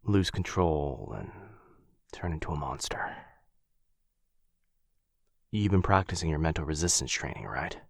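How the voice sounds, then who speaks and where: A man playing a gentle, protective vampire lover in an intimate boyfriend ASMR roleplay, tender and hushed, with a breathy, husky voice. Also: very deep voice, very animated, measured pace, long pauses, no echo, clean studio sound, exaggerated voice. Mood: hesitant, caring. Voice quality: breathy, husky, often whispering. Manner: tender, hesitant, reassuring, hushed. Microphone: close microphone.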